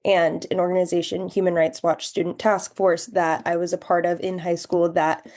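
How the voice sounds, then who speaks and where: A woman's voice: fairly low pitch, little pitch movement, normal speed, a little mellow. A young adult woman, a podcast guest, giving earnest, reflective answers in long flowing sentences. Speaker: podcast guest, human rights education fellow.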